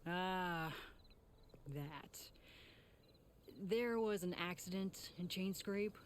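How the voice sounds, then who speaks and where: A woman's voice: lowish voice, extremely slow, slight background noise. An actress playing a worried, guilt-ridden female tinkerer in a video game, speaking in an earnest, wry, conversational way. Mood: sheepish, wry. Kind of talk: video game character acting. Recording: faint music behind the voice.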